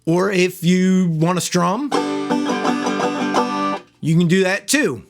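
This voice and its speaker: Hoarse man, high voice, chatty banjo tutorial, loud banjo behind. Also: takes it slowly, over loud music. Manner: relaxed, chatty, with fillers. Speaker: banjo teacher. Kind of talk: casual video tutorial. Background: banjo playing.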